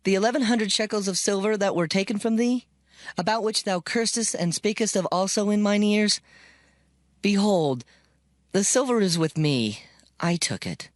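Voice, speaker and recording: A man's voice: high voice, moderate pace, dry recording, clean studio sound, lightly acted. A man playing an earnest householder of ancient times in a dramatized scripture recording, with formal, declaimed delivery. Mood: confessing.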